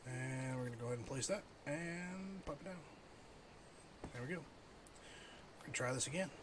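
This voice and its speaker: Middle-aged male streamer, average pitch, casual think-aloud gaming chat, slight background noise. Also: normal speed. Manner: thinks aloud, muttering while playing and chatting with viewers. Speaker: livestreamer.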